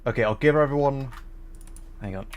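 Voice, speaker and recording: A man's voice: medium pitch, a little fast, highs cut off. A man hosting a gaming livestream, chatting casually in a polite, hesitant way. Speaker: livestream host, VTuber. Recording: faint background noise.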